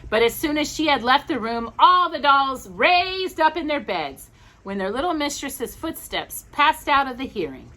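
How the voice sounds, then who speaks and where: A woman reading a storybook aloud for children, expressive and gentle, with chatty asides. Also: high voice, moderate pace, rough recording.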